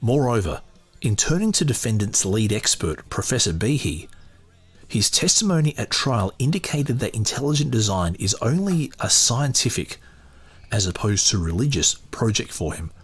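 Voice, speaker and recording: A male podcast host with a fairly low, rough-edged husky voice. He reads aloud and adds his own commentary off the cuff. His delivery is opinionated and emphatic. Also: sing-song, normal speed, faint music behind.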